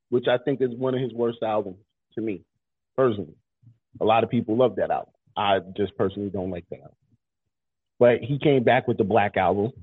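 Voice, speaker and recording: Congested guy hosting radio, husky, insistent, tinny dry sound. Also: mid-range voice, dry recording. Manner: argumentative, insistent, repeats words. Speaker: radio show host. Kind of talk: casual debate chat.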